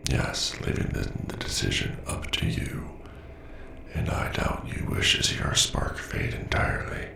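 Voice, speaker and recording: Casting: cold, condescending robot scientist in audio drama, extremely deep voice, echoey track. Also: male voice, gravelly, sharp tone, heavily acted. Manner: condescending, formal, deliberate. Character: cold, logical, menacing male robot scientist. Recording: distant, echoey, noisy voice track.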